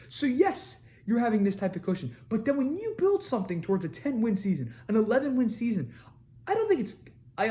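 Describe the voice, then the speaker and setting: A young man hosting a sports show, ranting with energy and repeating his points for emphasis, his voice a little raspy from screaming. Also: high-pitched, warm tone. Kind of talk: fired-up sports commentary to camera.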